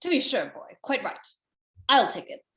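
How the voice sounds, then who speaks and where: Radio drama sergeant: actress as flustered officer, swooping highish voice, roomy echo. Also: female voice, sing-song, pushed and bright. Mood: officious, flustered. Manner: halting, repetitive, officious. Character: fussy, flustered male police sergeant. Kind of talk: radio play reading. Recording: echoey room on video call.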